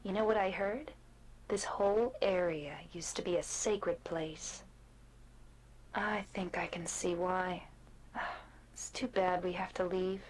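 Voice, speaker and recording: An actress playing a gentle, wistful young wife in a video game cutscene, speaking softly and breathily, close to a whisper. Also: female voice, on the low side, takes it slowly, a little hiss or hum, rough recording. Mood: wistful, tender.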